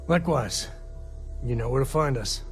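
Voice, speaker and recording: A man's voice: medium pitch, normal speed, over loud music, natural voice. A man playing an easygoing, folksy space explorer and single father in a video game. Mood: relaxed. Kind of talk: video game character dialogue.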